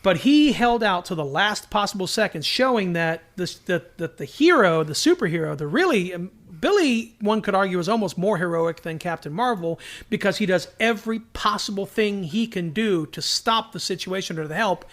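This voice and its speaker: A man's voice: high voice, expressive, normal speed, few pauses, fairly bright tone. A man co-hosting a podcast in casual fan discussion, acting out bits of dialogue as he explains. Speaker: podcast co-host.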